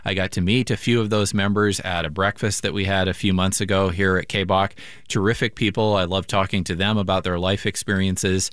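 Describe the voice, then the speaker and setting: A man's voice: deep voice, steady tone, a little fast, fairly bright tone, smooth, no echo. A man hosting classical public radio, talking in a friendly, conversational way during a pledge drive on a studio microphone. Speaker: radio host.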